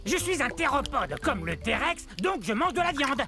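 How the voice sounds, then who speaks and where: Gravelly, excitable carnivorous dinosaur. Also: female voice, sits high, moderate pace. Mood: excited, cheeky. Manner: theatrical, exclaiming, talking to viewer. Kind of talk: children's educational cartoon narration. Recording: music behind the voice.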